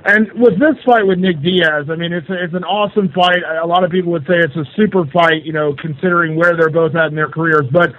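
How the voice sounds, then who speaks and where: A man's voice: a little high, fairly even intonation, quick pace, hardly any pauses. A male sports journalist putting long, conversational questions to a guest on a conference call. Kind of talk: asking interview questions. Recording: narrow-band phone line.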